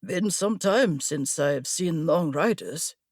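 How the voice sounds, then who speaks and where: A woman's voice: low voice, lively intonation, slow pace, darkish tone, no echo, studio quality, heavily acted. A woman voicing a stern, guarded male guard captain who speaks formally and courteously in a fantasy audiobook. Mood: cautioning. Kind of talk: audiobook fantasy character dialogue.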